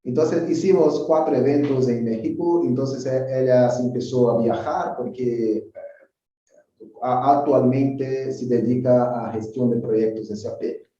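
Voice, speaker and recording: A man with a Brazilian Portuguese accent chats as a guest over a remote call, speaking Spanish as a second language. He talks in a halting way, repeating words as he searches for them. His voice is very dark and mellow, and it sounds distant and muffled in a strongly echoing room. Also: medium pitch, fairly even intonation. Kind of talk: casual interview chat.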